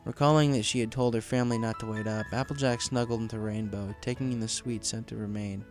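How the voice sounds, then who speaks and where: A man's voice: fairly low pitch, steady tone, fast talker. A man reading a scripted story as its narrator. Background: background music.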